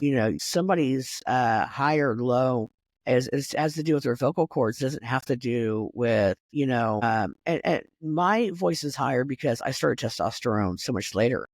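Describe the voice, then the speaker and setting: A middle-aged man hosting a podcast, his voice sitting fairly high for a man and set in a middle pitch. He talks in a chatty, rambling way with lots of restarts and fillers. It is recorded close and dry, with no room sound. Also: animated, moderate pace, no echo. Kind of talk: casual conversation.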